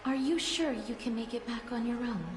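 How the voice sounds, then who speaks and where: A woman voicing a polite, composed young woman in a video game, speaking in a formal, measured way. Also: a little high, echoey room, noisy background, lightly acted. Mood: calm.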